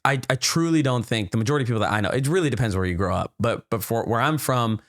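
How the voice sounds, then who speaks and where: A man's voice: mid-range voice, expressive, fast talker, no echo, studio quality. A man in his twenties, a podcast guest chatting casually and rambling with lots of fillers and restarts. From United States. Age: 20s. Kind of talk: casual chat.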